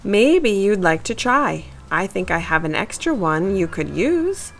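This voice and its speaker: A woman's voice: mid-range voice, big pitch swings, a little slow, vocal fry, full character voice. A woman voicing a timid, studious young male mouse in a storybook, speaking in an earnest, hesitant way. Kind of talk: storybook character voice.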